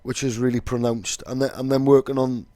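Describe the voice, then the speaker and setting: A young Welsh-accented man explains casually, rambling and swearing, with plenty of fillers. His voice sits at a middling pitch. The recording is dry and close, with no room echo. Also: level tone, brisk, mellow. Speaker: vlogger.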